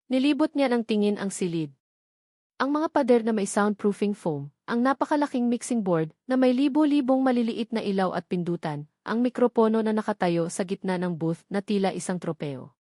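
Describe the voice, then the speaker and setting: A woman's voice: mid-range voice, a little mellow, no room sound. Filipino woman narrating, dry close sound. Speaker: story narrator. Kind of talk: scripted dramatic narration.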